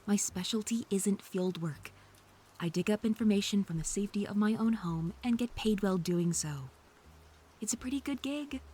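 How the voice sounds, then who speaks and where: A woman's voice: mid-range voice, normal speed, mellow, slightly rough, natural voice. A woman playing a snarky, defensive female hacker under interrogation in an audio drama, sarcastic and indignant.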